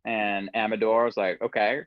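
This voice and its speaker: A man's voice: on the low side, a little fast, no echo. Guy hosting a chill podcast, telling stories, dull dry sound. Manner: relaxed storytelling with frequent laughs. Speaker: podcast host. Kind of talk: casual conversation.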